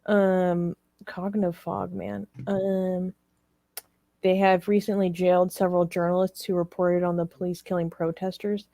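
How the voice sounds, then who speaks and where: Female co-host, slightly low register, informal and hesitant news talk, dry close recording. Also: flat delivery, a little slow, gravelly, warm tone, no room sound. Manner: hesitant, careful to qualify claims. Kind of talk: casual news discussion.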